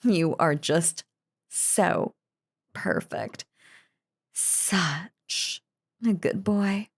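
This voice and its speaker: A woman acts out a possessive yandere wife in an intimate audio roleplay. Her voice sits a little low for a woman and crackles with fry. She dotes and gushes over her husband, sweetly menacing and cheerfully unhinged. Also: very slow, full character voice. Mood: doting, approving. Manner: doting, gushing, cheerfully unhinged. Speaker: voice actor.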